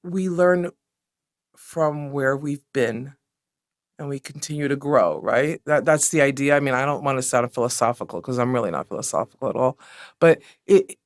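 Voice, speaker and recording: A woman's voice: very deep voice, moderate pace, no room sound, studio quality. A woman appearing as a podcast guest for a restaurant, talking in a friendly, upbeat, promotional way. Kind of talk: casual interview chat.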